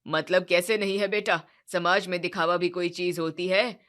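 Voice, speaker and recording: A woman as boastful middle-aged father-in-law, grumbling, lowered voice, storybook. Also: fairly even intonation, normal speed, fairly bright tone, lightly acted.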